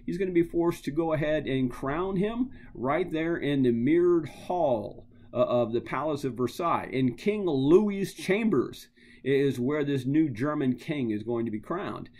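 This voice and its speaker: A man's voice: a little high, lively intonation, a little slow, darkish tone. A man giving an informal history lecture as a teacher, emphatic and dramatic in his storytelling, repeating phrases for effect and acting out quotes.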